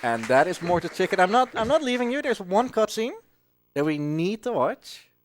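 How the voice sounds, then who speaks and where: A man's voice: high voice, big pitch swings, normal speed, a little hiss or hum. A man giving casual, jokey live commentary as he plays through a video game. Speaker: speedrunner. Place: live gaming marathon event. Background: game music.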